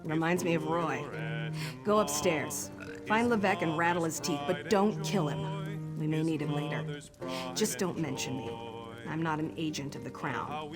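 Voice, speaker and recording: An actress playing a gruff orc woman agent in a fantasy game, speaking bluntly and curtly with wry edges. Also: low voice, normal speed, close to an everyday voice. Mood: gruff, conspiratorial. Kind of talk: video game voice acting. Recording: loud music under the voice.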